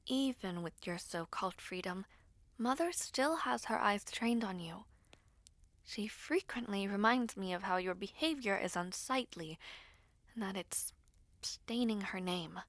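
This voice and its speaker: A woman voicing an anxious, protective young princess in an audio story, earnest and cautioning, with breathy intensity. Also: mid-range voice, animated, measured pace, a bit bright, dry recording. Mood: reproachful.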